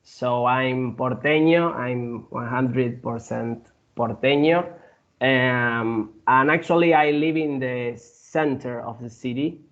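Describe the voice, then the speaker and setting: A man from Argentina with an Argentine Spanish accent, a guest in a casual interview who speaks haltingly, with many fillers and restarts. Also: medium pitch, lo-fi sound.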